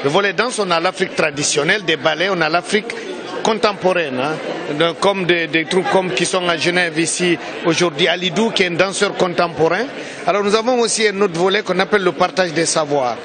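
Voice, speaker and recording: A man from Guinea with a fairly high voice speaks with enthusiasm about the festival he founded. He talks outdoors at a festival, and a dense crowd murmurs around him. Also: a little fast. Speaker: festival founder and city councillor. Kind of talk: interview answer at a festival. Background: crowd chatter.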